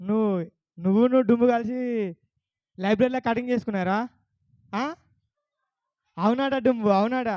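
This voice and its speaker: A man's voice: extremely high pitch, normal speed, long pauses, no echo, highs cut off. A man with a Telangana Telugu accent hosting a puppet show, coaxing a child audience with questions. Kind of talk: lively talk to a child audience.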